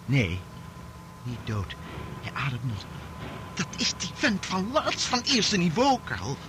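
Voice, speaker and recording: A doubting professor in an old Dutch radio play. His questioning, hesitant speech swoops dramatically up and down. The recording is clearly noisy. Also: high voice, wildly swooping pitch, slow pace, in a large hall, heavily acted. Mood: shaken. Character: thoughtful, doubting male professor, principled and anxious.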